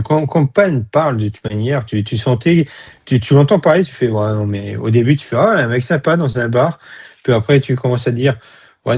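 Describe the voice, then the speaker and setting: A man's voice: mid-range voice, darkish tone, slightly rough, highs cut off. A man with a European French accent giving blunt opinions as a guest in a casual review chat.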